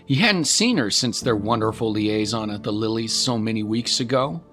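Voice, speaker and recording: A man narrating a scripted dramatic story in a measured, solemn manner. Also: lowish voice, animated, a bit bright, faint music behind.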